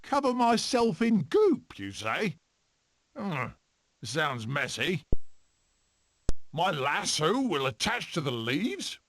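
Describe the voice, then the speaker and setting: Cocky weasel adventurer for a cartoon game, high bright voice that swoops all over, theatrical and boastful. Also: male voice, huge pitch swings, takes it slowly, pauses often, slight background noise, full character voice. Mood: wary, musing. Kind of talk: animated film video game voice clips.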